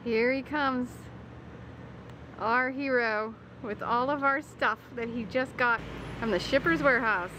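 A woman's voice: a little high, measured pace. A woman talking casually as the host of a family vlog. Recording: very noisy outdoor and vehicle ambience.

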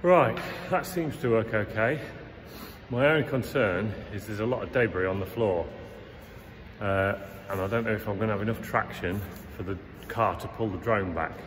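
Deep-voiced Englishman in an echoey warehouse. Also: normal speed, slight background noise. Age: middle-aged. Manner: chatty, matter-of-fact, talking through each step. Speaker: vlogger. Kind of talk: casual vlog narration. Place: large empty derelict warehouse, indoors. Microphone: handheld camera at arm's length. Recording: long echo in a big empty warehouse.

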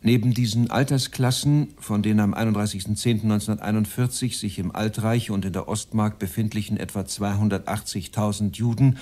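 An actor playing a cold, measured Nazi official addressing a meeting in a radio play. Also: male voice, fairly low pitch, steady tone, warm tone, close to an everyday voice. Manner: measured, bureaucratic.

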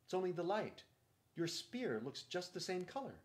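A level-headed young girl in a storybook, voiced by a man reading aloud. Her lines sit a bit higher than the narration, gentle yet firm. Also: sing-song, moderate pace. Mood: earnest.

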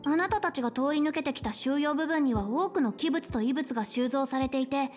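A high-pitched young female space station chief, voiced by an actress for a video game. She is polite, self-assured and caring, explaining things in measured, articulate phrases. Faint music plays behind her. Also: darkish tone, lightly acted. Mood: composed, explanatory. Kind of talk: Japanese game voice acting, explanatory dialogue. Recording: game dialogue over background music.

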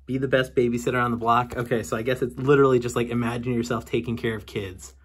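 A man's voice: medium pitch, flat delivery, fast talker, a little hiss or hum. A young man casually talking through the games he brought home, wry and jokey as he goes. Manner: chatty, wry, jokey asides. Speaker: video game collector vlogger. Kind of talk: casual unboxing commentary. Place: indoors at home.

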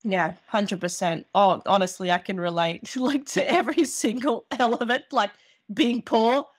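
A woman from Australia hosting a podcast, chatting casually and relating to her guest with lively stories of her own. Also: mid-range voice, normal speed, no room sound, clean studio sound. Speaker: podcast host. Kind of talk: casual chat.

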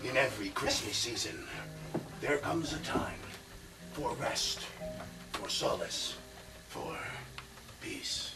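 A man on stage playing a grandiose, violent pro wrestler who booms out pompous proclamations with dramatic pauses and yells in a broad comic style. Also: lively intonation, creaky, loud music behind the voice. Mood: solemn. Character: bombastic hulking male pro wrestler, grandiose, violent, childlike. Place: small theatre stage, indoors. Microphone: far camera in the audience. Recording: distant, echoey, noisy theatre recording with audience.

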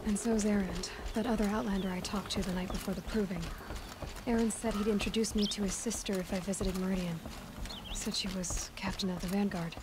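A woman's voice: mid-range voice, steady tone, fairly quick, background noise, close to an everyday voice. A young woman voicing a determined, blunt outlander huntress in a video game cutscene. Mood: thoughtful. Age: young adult. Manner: blunt, stern, terse. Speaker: lead character voice actor.

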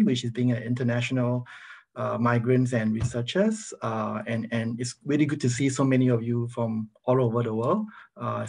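A middle-aged man from Singapore with a Singaporean accent, giving an informal academic presentation on a video call in a halting manner. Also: mid-range voice, gravelly, breathy edge. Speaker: university professor, guest speaker. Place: online video meeting, speaking over slides. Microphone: video call microphone.

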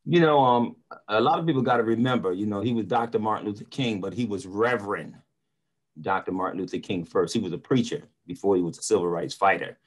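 A man's voice: lowish voice, fast talker. A middle-aged man, speaking earnestly and emphatically in an online discussion, often repeating words for emphasis. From North Philadelphia, United States. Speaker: preacher and motivational speaker. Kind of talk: casual discussion in an online panel. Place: small room at home or office, indoors. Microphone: video call computer mic.

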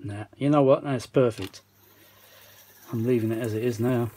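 A man's voice: mid-range voice, moderate pace. A British man giving casual, rambling, self-mocking commentary as he talks to himself during a repair at an indoor workbench. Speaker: vintage audio repair hobbyist and video maker. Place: indoor workshop bench. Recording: steady machine noise behind voice.

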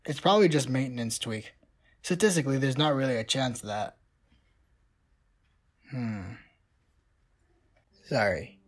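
A woman doing a low, calm teenage boy. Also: a little slow, pauses often, exaggerated voice. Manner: flat, level, reassuring. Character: calm, dry teenage boy, steady boyfriend. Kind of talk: fan comic dub.

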